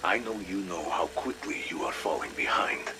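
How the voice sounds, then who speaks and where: Deep, ominous informant played by an actor. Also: male voice, noisy background, close to an everyday voice. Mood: grave, insistent. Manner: terse, deliberate. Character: mysterious, ominous Russian male informant, cool and knowing. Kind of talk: video game audio log acting.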